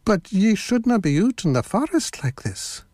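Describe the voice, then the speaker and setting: A woman's voice: medium pitch, big pitch swings, normal speed, mellow, no room sound, clean studio sound, voice pushed up. Woman doing a sly, sweet-talking Scottish wolf. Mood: coaxing. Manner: coaxing, oily politeness. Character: sly, smooth-talking male wolf, falsely charming. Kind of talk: children's storybook character voice.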